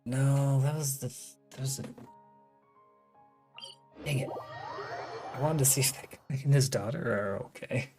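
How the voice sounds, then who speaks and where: A woman's voice: extremely low pitch, a little slow, pauses often, mellow, soft background music. A woman giving casual live gameplay commentary as a streamer, rambling and muttering to herself. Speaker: game streamer.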